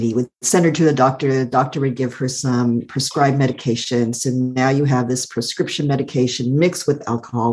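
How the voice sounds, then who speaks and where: A middle-aged woman with a calm, soothing voice, thoughtfully telling her life story as an interview guest and laughing often. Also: very deep voice. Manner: warm, thoughtful, laughs often, uses "like" a lot. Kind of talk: reflective personal storytelling.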